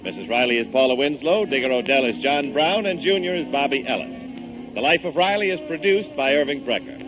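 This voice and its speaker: Male 1950s announcer: lively swooping pitch, scripted sponsor reads, music bed. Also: brisk, rough recording. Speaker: radio announcer. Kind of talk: 1950s scripted radio advertising and credits. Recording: vintage radio broadcast with music.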